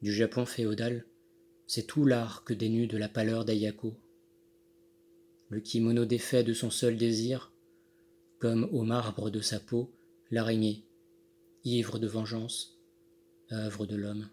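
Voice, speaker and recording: A man reciting his own poem in measured, deliberate phrases with pauses between them. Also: on the low side, flat delivery, a little mellow. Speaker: poet reading own poem. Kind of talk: poetry recitation. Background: Handel music accompanies the reading.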